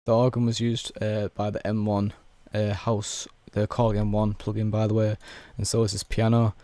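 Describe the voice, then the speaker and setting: A young man with a hoarse, worn voice, casually walking through a music production tutorial step by step with plenty of fillers. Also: on the low side, monotone, moderate pace, warm tone. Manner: laid-back, explains step by step with many fillers. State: hoarse, losing his voice.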